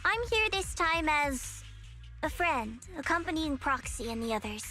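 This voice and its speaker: An actress playing a composed, pragmatic young ally in a video game, calm and matter-of-fact. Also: female voice, sits high, unhurried, soft background music, heavily acted. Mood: calm, cautious. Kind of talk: scripted video game voice acting.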